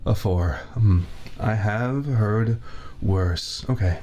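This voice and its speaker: A man's voice: fairly low pitch, warm tone, a little hiss or hum. A man playing a spiteful laid-off torturer. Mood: fussy, clinical. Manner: formal, theatrical villain diction, slow and deliberate. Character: spiteful laid-off veteran male torturer, fussy and formal. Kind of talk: ASMR roleplay. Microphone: 3Dio binaural mic.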